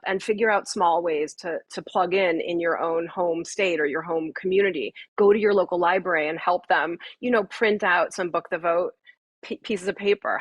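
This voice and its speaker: A woman's voice: fairly low pitch, no room sound. A woman speaking as an interview guest, explaining things in an articulate, earnest way. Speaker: podcast guest, writer and voting activist.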